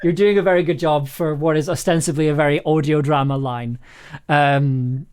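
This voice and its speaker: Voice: medium pitch, fairly even intonation, moderate pace, darkish tone, clear, resonant tone. A chatty producer who giggles and rambles while casually directing a voice actor on a livestream.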